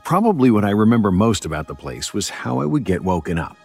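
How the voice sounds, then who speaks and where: A man's voice: on the low side, big pitch swings, fast talker, vocal fry, breathy edge, faint music behind, heavily acted. An actor playing a grown man who recounts an uneasy childhood memory in a colloquial, wry way, as first-person horror storytelling. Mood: uneasy, wry reminiscing.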